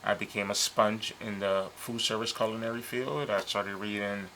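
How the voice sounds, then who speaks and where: A man in his fifties, born in Liberia, a chef talking casually and a little haltingly as a TV interview guest. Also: lowish voice, a little hiss or hum, low-quality recording. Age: 50s. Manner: relaxed, hesitant with many ums, laughs easily. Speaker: chef and business owner, guest. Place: local TV studio.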